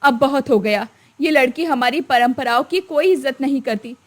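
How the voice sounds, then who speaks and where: A woman's voice: high-pitched, moderate pace. A woman voicing a traditional older mother-in-law, stern and scolding but tender underneath.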